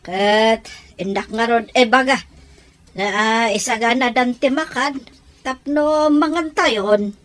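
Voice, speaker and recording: A woman's voice: fairly high pitch, slow, fairly bright tone. A woman acting a scheming schemer who questions and prods her accomplices in an audio drama. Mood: demanding. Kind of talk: Ilocano radio-style audio drama acting.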